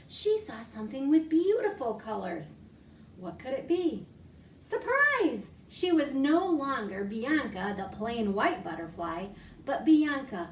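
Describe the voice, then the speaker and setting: Woman, children's storytime reader, animated, slightly high voice, echoey room, a bit far from the mic. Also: slight background noise. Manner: animated, exaggerated storytelling with gasps. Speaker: children's librarian hosting storytime. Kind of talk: reading a picture story to young children. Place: at home.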